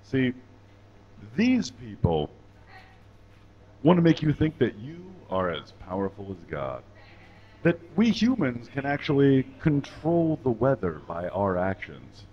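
A man playing a pious, patronising, folksy puppet who lectures deliberately in a mock-sermon at a live comedy show. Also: medium pitch, sing-song, lo-fi sound. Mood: earnest. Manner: preachy, deliberate, drawn-out phrasing. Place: small indoor stage. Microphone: handheld microphone.

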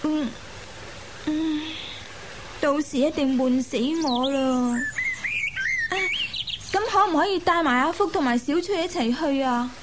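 A woman's voice: high-pitched, a little slow, long pauses. A woman acting a naive, playful young concubine in a Cantonese radio drama, coy and wheedling, with a Hong Kong accent. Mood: pouting, pleading. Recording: hissy radio drama with birdsong. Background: chirping birds.

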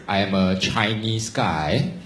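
A young man from Singapore, doing a stand-up set on a club stage into a handheld mic, delivering punchlines slowly and deadpan. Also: lowish voice. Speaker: stand-up comedian. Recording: echoey club, audience noise behind.

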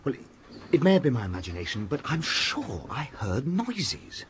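Young English gentleman, mid-pitched, swooping intonation, blunt, jittery, radio drama, slight background noise. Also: normal speed. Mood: nervous. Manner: blunt, excitable, sometimes stammering.

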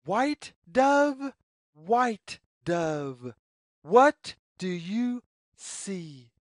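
A woman reading a children's picture book aloud as storyteller, with deliberate, sing-song phrasing. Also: mid-range voice, very animated, no echo, clean studio sound.

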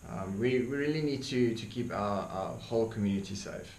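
Young Afrikaans-accented man, earnest opinion vlogger, fairly low voice, echoey room. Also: normal speed, gravelly, darkish tone. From South Africa. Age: young adult. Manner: earnest, frequent ums and repeated words, gestures with hands. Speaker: YouTuber. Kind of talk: opinion monologue to camera. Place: dark indoor room, seated by desk. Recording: echoey room with faint hiss.

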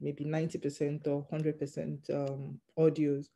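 A doctor in training, a non-native English speaker, answering interview questions in a hesitant, measured way with many ums. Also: medium pitch, completely flat delivery, dark tone. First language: not English. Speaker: GP trainee interviewed about passing an exam.

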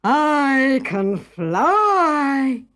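A woman's voice: high-pitched, sing-song, drawn-out words, a bit bright, voice pushed up, heavily acted. A woman voicing a vain, blustering male ostrich king from a storybook. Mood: boastful.

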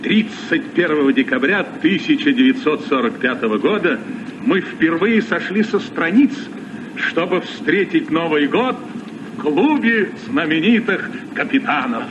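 An actor playing a sensible, knowledgeable sea captain in a vintage radio play, with an explanatory, declaimed delivery. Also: male voice, on the high side, expressive, a little slow. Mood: informative. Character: sensible, well-informed veteran sea captain. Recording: muffled, noisy old radio recording.

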